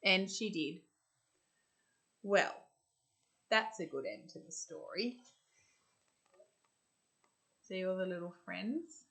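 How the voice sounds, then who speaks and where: An Australian woman reading a storybook to children, expressive and deliberate in the manner of a school storyteller. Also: on the low side.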